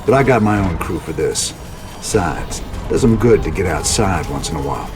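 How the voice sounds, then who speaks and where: An actor playing a weary, resolute rebel commander, laconic and folksy, in a video game. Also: male voice, low-pitched, normal speed, music in the background. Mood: firm, wry. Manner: laconic, folksy, steady authority. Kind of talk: dramatic video game acting.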